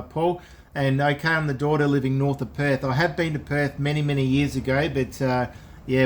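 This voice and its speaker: A man's voice: on the high side, a little hiss or hum. Man talking on ham radio. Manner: casual, repeats key phrases for clarity. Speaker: amateur radio operator. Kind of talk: unscripted two-way ham radio chat.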